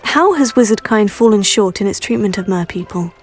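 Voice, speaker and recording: A woman's voice: medium pitch, lively intonation, brisk, faint music behind, natural voice. A woman playing a helpful, modest young student witch heroine in a video game, polite and inquisitive. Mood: curious. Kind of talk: video game dialogue acting.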